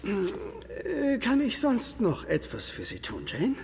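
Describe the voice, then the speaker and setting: An actor playing a flustered, evasive village priest in a radio play, with a solemn and hesitant manner. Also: male voice, high voice, wildly swooping pitch, slow pace, creaky, noisy background, exaggerated voice. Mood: uneasy, evasive. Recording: music behind the voice.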